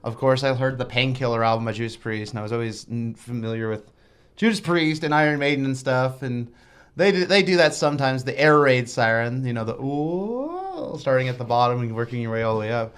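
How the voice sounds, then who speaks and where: High shrill-voiced man, rambling. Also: a little fast, clear, resonant tone. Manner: rambling, animated storytelling with restarts. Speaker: band singer as studio guest. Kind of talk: casual interview chat. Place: college radio studio.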